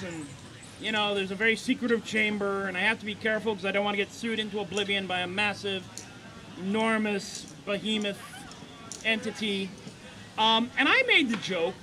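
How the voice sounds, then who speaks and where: A man's voice: extremely high pitch, sharp tone, a little hiss or hum. A man, a comedy songwriter, doing rambling, self-deprecating banter between songs at a live show. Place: live stage show before an audience. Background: guitar playing.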